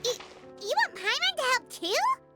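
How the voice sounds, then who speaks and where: A tiny, cheeky fairy companion from a game, with an extremely high, squeaky childlike voice. The delivery is cutesy and swoops lively from note to note. Music plays behind it. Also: female voice, moderate pace. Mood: flustered, puzzled. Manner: speaks of herself in the third person, cutesy. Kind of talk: cartoonish video game voice acting.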